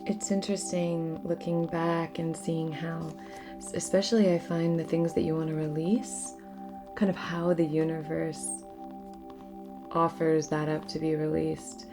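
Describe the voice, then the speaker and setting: Soft-spoken woman, slow and low, over music. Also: little pitch movement. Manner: soft-spoken, often slipping into near-whisper. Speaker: host of a spiritual ritual channel. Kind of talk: gentle guided new moon ritual, casual chat. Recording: music clearly under the voice.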